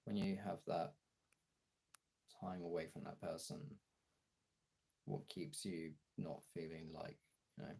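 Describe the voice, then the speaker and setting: A man chatting casually as a streamer, thinking aloud haltingly as he searches for words and trails off. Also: low voice, steady tone, gravelly, dark tone, slightly rough.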